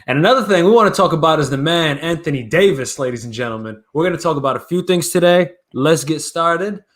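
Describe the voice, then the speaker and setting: A young man co-hosting a sports podcast, talking basketball in loud, playful, animated banter. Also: on the high side, fast. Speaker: podcast co-host.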